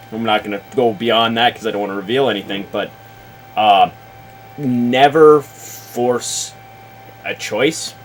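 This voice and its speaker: A man talking casually about tabletop games in a deliberate, halting, explanatory way, as if advising another player. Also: fairly low pitch, a little hiss or hum, rough recording. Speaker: show host, experienced game master advising.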